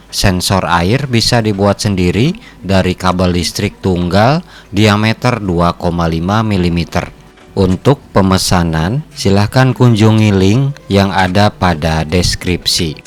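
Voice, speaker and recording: A man reading scripted narration for a repair tutorial in a measured, formal, instructional way. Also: low voice. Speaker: host of an electronics repair channel.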